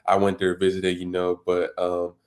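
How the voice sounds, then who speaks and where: A teenage boy, a high school football recruit born in Congo, answering interview questions in a casual, rambling way full of "you know" and "and all that". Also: completely flat delivery, moderate pace, darkish tone. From Democratic Republic of the Congo. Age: teens.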